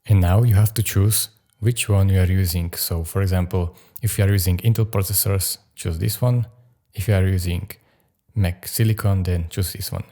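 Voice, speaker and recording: A man hosting a software tutorial, narrating instructions step by step. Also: low voice, steady tone, moderate pace. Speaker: tutorial host.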